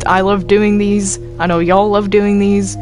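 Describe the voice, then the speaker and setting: A woman's voice: medium pitch, level tone, moderate pace, over music. A woman talking casually in a group game voice chat, earnest and exasperated, swearing freely. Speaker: player in a group Minecraft roleplay.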